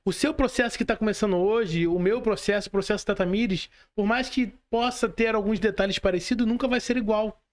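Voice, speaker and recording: A woman's voice: mid-range voice, fairly quick, few pauses. A Brazilian woman hosting a podcast, chatting casually and motivationally, repeating phrases and putting rhetorical questions to listeners. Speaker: podcast host, mentor.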